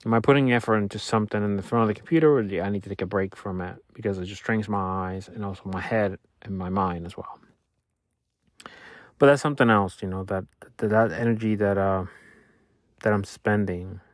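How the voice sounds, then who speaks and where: A man in his thirties, a native Spanish speaker, reflects on his week in a relaxed monologue. His voice sits low, and he often pauses and restarts as he thinks. The recording is close and dry, with no room sound. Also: no echo. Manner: thoughtful, halting, restarts often. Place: Louisiana.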